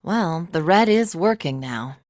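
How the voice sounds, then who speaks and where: A woman's voice: fairly low pitch, animated, measured pace, a little mellow, dry recording. A woman voicing a cool, matter-of-fact mature woman in an anime-style game.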